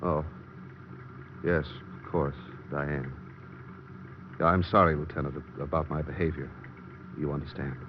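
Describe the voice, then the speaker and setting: A man's voice: extremely low pitch, fairly even intonation, measured pace, long pauses. An actor playing a distraught, emotional man caught up in a crime, in old radio drama style. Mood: subdued, apologetic. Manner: agitated, emotional, melodramatic. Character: overwrought, distraught male fiancé of a murdered woman's daughter. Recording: muffled, noisy old radio recording.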